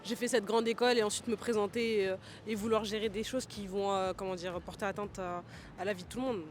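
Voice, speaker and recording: A man's voice: sits high, steady tone, fast talker, noisy background. A man passerby giving critical opinions, often searching for the right words. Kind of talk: casual street interview opinion. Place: outdoors on a city street.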